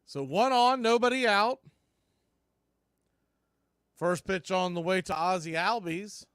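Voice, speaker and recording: A man's voice: high-pitched, slow, a bit bright, clean tone. A man doing live radio-style baseball commentary as play-by-play announcer, in punchy, clipped calls that swell with the action.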